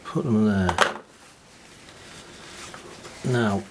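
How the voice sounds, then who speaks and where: Hobbyist man, lowish voice, relaxed muttered workshop commentary, noisy take with clicks. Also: extremely slow. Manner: muttering running commentary, easygoing. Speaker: hobbyist repairing a reel-to-reel deck. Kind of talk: casual workshop narration.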